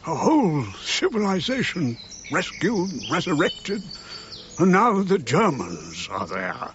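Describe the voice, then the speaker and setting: An actor playing a frail, irritable, wistful man of ninety in a radio drama, speaking haltingly and broodingly. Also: high-pitched, big pitch swings, exaggerated voice. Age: 90s. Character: frail, irritable, wistful ninety-year-old English archaeologist. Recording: muffled, noisy, birdsong behind.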